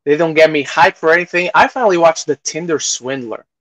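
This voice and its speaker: A man with a slightly high voice talks casually as a podcast host giving reviews. He often repeats and restarts phrases, slipping into quoted reactions as he goes. The sound is close and dry. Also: a bit bright, no echo. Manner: halting, repeats phrases, acts out imagined reactions.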